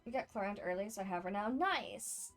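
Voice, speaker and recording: A woman's voice: medium pitch, animated, fairly quick, faint music behind. A woman livestreamer giving casual, chatty gameplay commentary to her viewers, speaking off the cuff. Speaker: game livestreamer.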